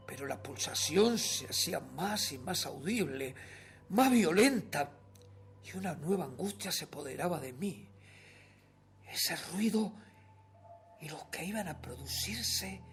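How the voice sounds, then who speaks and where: A theatrical, nervously obsessive murderer. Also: male voice, on the high side, gravelly, heavily acted. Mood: anxious dread. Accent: Uruguayan. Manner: theatrical, drawn-out, swinging between hushed and forceful. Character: nervous, obsessive male murderer insisting he is sane. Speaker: radio theatre actor narrating. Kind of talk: dramatic first-person horror story reading, radio theatre acting.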